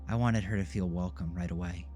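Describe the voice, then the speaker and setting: A man's voice: low-pitched, steady tone, a little fast, mellow, close to an everyday voice. Devoted female special-ed teacher, played by a man, earnest and sincere, music bed, audio drama. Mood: grateful excitement. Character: passionate, eager woman special education teacher. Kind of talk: audio drama character dialogue.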